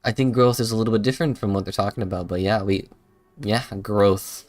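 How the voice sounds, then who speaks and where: A man's voice: on the low side, fairly quick, darkish tone, dry recording. A man doing casual livestream game commentary, rambling informally and a little hesitantly. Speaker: game streamer. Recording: dry close voice, faint game music.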